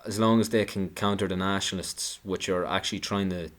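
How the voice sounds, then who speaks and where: Low-voiced man, indignant commentary. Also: steady tone, a little fast. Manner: indignant, sarcastic, with many restarts. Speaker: political commentator. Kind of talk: monologue commentary.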